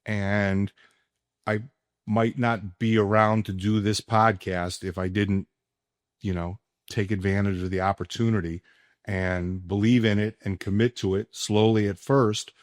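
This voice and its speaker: A man hosting a casual sports podcast, speaking emphatically with deliberate pauses. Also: fairly low pitch, flat delivery, dry recording, studio quality. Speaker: podcast host. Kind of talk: casual sports podcast talk and personal ad read.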